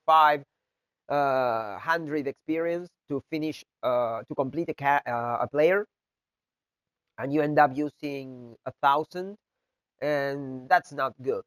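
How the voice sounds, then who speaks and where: A man with a nasal, flu-congested voice, speaking English as a second language, gives a casual video game tutorial that is halting and full of fillers and restarts. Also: a little high, no echo. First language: not English. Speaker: video game content creator. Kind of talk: casual gameplay tutorial talk.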